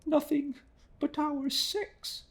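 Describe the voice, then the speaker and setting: A desperate young woman , voiced by a man, pleads through tears in a high, strained voice. Her lines soar well above a man's range and swing widely. Delivery is slow and imploring. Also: lively intonation, heavily acted. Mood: pleading, sobbing.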